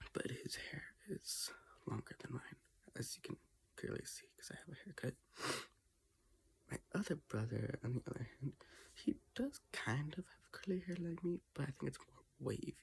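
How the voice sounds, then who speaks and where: A teenager talking casually in a personal vlog from their bedroom, halting with restarts and often dropping to a half-whisper. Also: medium pitch, vocal fry, breathy edge. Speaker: vlogger. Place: own bedroom, indoors.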